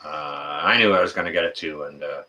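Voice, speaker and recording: A man in his fifties hosting a casual livestream chat, talking in a rambling, folksy, halting way. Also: very deep voice, bright, forceful tone. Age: 50s. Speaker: livestream host.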